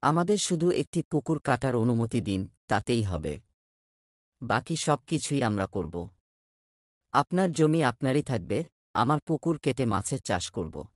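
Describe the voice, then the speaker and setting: A man's voice: medium pitch, sing-song, unhurried, no echo, clean studio sound. A man playing a hardworking, respectful village fish farmer in a cartoon, earnest and plain-spoken. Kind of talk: cartoon voice acting.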